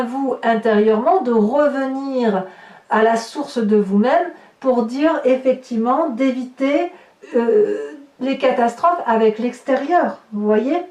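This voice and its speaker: A woman's voice: high voice, a little mellow. A woman giving an improvised tarot reading to the viewer, explaining and repeating phrases for emphasis. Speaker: tarot reader. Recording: roomy echo, distant from the mic.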